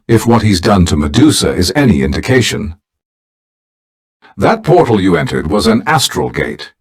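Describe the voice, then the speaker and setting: A low, very expressive voice of a dignified psychic mentor, played by a man. He speaks formally and gravely, like a video game team leader guiding his heroes. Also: exaggerated voice. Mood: grave briefing. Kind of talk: scripted video game character acting.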